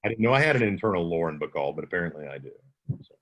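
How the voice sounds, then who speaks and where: A man with a slight Southern twang answers questions at a writers' conference over a video call. His voice sits on the low side. He talks conversationally and often restarts his sentences. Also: big pitch swings, racing, a bit bright, dull audio. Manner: conversational, with many restarts and self-corrections. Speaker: audiobook narrator and instructor.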